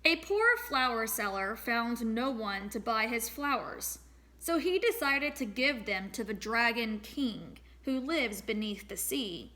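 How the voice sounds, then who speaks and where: A woman reading a storybook aloud as a teacher, expressive and pausing to address young listeners. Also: fairly high pitch. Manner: expressive, acts out sound words.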